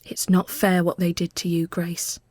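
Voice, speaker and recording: A woman voicing a kindly, practical woman neighbour in a ghost story, with an animated delivery. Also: lowish voice, moderate pace, breathy edge, no room sound. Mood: gentle.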